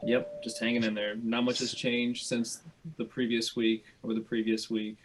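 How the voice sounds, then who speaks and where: A man's voice: medium pitch, completely flat delivery, moderate pace, background noise. An American man in his thirties joking drily in casual banter on a home video call. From Ohio, United States. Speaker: podcast composer and musician.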